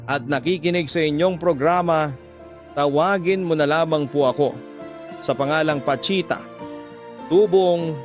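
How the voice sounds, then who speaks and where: A Filipino man with an accent from the Philippines, reading a scripted dramatic story as a radio drama host. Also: a little high.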